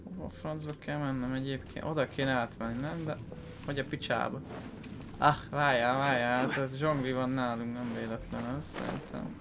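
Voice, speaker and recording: A man's voice: mid-range voice, fairly even intonation, brisk, creaky, background noise. A man doing casual, informal gameplay commentary, muttering and swearing as he plays. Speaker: gaming YouTuber. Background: game music, keyboard clicks.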